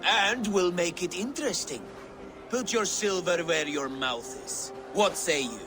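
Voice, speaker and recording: A swaggering, flirtatious Saxon feaster. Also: male voice, high-pitched, unhurried, music in the background. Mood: teasing challenge. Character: boastful, flirtatious Saxon man at a wedding feast. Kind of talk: video game dramatic acting.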